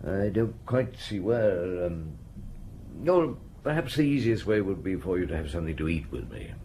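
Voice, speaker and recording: Radio drama detective: low, expressive voice, wry and probing, faint noise behind. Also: male voice, normal speed. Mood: flustered, polite. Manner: courteous, hesitant, ruminative. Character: unhurried, probing French police inspector, courteous and wry. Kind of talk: audio drama acting, questioning and narrating.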